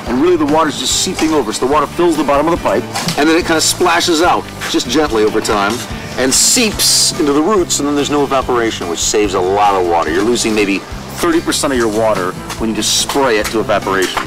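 A man's voice: mid-range voice, lively intonation, fast talker, over music, poor audio. A man showing off his backyard water systems, explaining casually and playfully outdoors. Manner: enthusiastic, playful. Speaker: homeowner.

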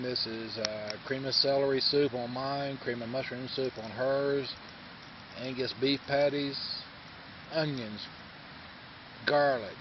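Man, casual camp narration, mid pitch, dull handheld-camera sound, faint outdoor hiss. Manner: chatty, explaining step by step to viewers. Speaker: camper filming a vlog. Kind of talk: casual narrated home video tour. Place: outdoor forest campground by a river. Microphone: handheld camera mic.

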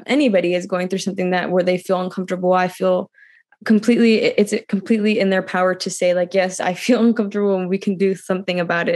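A woman's voice: on the low side, darkish tone. Casual young woman, panelist on a video call, easygoing. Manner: casual, with frequent "like" and light laughs. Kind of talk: personal story on a panel.

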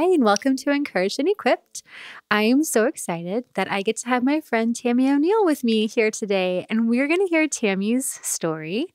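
A woman's voice: mid-range voice, no echo, clean studio sound. A woman hosting a church interview podcast, bubbly and enthusiastic as she chats with her guest. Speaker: podcast host. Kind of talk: warm interview chat.

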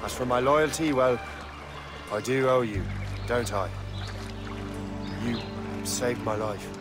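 A man voicing a hardened young ship captain in a game, guarded and loyal, who speaks tersely and dryly at a middle pitch. A loud orchestral score swells beneath his voice. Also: slow pace, lightly acted. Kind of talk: cinematic game voice acting. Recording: game soundtrack playing beneath. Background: loud orchestral music.

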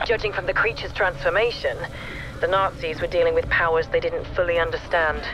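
A woman's voice: on the low side, steady tone, a little fast, close to an everyday voice, rough recording. A woman playing an analytical, inquisitive scientist in a video game. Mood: wry, curious. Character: curious, knowledgeable female scientist on strike team.

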